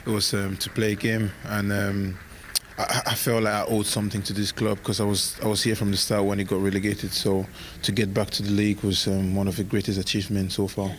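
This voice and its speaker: A man answering interview questions in a low, flat voice, soft and hesitant, with many pauses and ums. A faint noise hums behind him. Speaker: footballer interviewed. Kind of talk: live interview.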